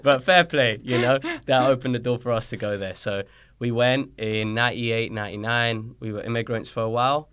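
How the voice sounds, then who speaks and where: A man's voice: mid-range voice, a little fast, clear, resonant tone. A man in his thirties from Colombia, raised in London, with a British accent, telling stories as an interview guest and acting out quoted dialogue. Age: 30s. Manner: animated storyteller, acts out quoted dialogue. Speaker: guest, soccer coach. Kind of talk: casual interview chat.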